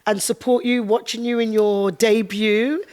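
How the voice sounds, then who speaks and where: A woman's voice: fairly high pitch, fairly even intonation, a little slow, a little mellow. A woman hosting a casual interview, asking questions in an enthusiastic, effusive way. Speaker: podcast host, interviewer.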